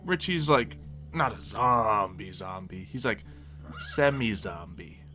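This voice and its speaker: A man's voice: fairly low pitch, animated, slow, pushed and bright, faint music behind, full character voice. A man playing an easygoing, wry survivor loyal to his settlement, talking casually in an improvised game roleplay.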